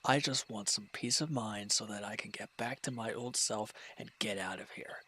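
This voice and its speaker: Wounded villain for roleplay audio, male actor: lowish voice, proud, embittered, short-tempered, brusque and dismissive delivery. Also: normal speed, sharp tone, a little hiss or hum. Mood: irritated, defensive. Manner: curt, irritable, dismissive, sighing. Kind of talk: dramatic roleplay audio monologue.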